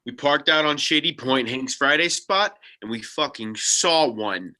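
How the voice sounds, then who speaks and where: A man in a table read playing Art, an excitable UFO spotter who talks casually and emphatically, with swearing. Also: mid-range voice, unhurried. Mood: awed, agitated. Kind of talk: table read of a comedy script.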